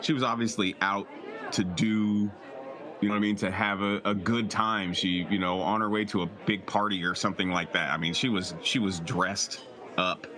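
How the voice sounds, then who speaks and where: A man's voice: on the low side, steady tone, moderate pace, a crowd in the background, lightly acted. A man playing a flustered, self-doubting male half-elf adventurer in a tabletop game, stammering and repeating himself. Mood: flustered, dejected. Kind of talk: improvised tabletop roleplay dialogue.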